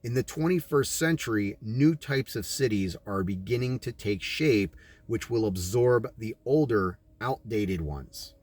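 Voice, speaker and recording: A man's voice: fairly low pitch, expressive, unhurried, darkish tone. An American man reading aloud and adding his own commentary in an emphatic, preachy manner, like a sermon. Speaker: audiobook reader and commentator.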